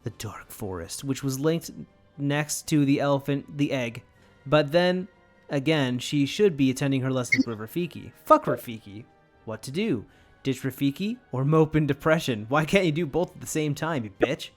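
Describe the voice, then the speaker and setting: A man's voice: medium pitch, animated, moderate pace, soft background music. A man mockingly reading fan fiction aloud, with sarcastic, sweary casual asides. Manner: sarcastic, profane.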